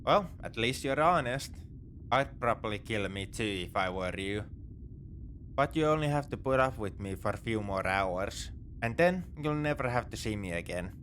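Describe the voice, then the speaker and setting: A man's voice: on the low side, expressive, normal speed, a bit bright, a little hiss or hum. A man playing a wry, morally grey space pirate in a sci-fi ASMR roleplay, with a conversational, nonchalant, dryly teasing delivery. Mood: dry, easygoing. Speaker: audio roleplay performer.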